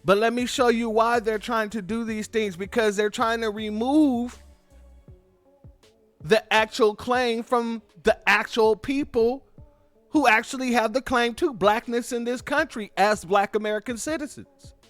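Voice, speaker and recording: Fields: Sex: man. Role: commentary host. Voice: high, dry. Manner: emphatic, repetitive. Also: steady tone, measured pace, fairly bright tone, no room sound, soft background music. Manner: emphatic, repetitive, informal, addresses viewers as family. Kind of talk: political commentary on clips.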